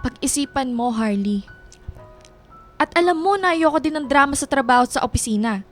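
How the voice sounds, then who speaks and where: A woman's voice: high voice, expressive, a little fast, soft background music. A woman playing a stern, no-nonsense office boss in a radio drama, speaking in a firm, businesslike manner. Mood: firm, admonishing.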